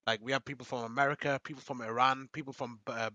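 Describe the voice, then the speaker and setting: Young guy hosting a podcast, bright voice, hoarse-ish from talking so much, dry mic. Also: medium pitch, steady tone, fast talker, no room sound, lo-fi sound. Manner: rambling, laughing, repeats promotions. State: losing his voice. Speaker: podcast host. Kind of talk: casual podcast chat.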